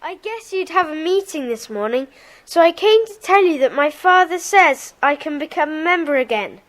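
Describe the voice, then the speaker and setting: A woman's voice: sits high, expressive, normal speed, a bit bright. A woman playing a happy, earnest schoolboy member of a gang in an audiobook drama. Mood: happy, relieved. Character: happy schoolboy, relieved to rejoin his gang. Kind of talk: audiobook radio-play dramatisation.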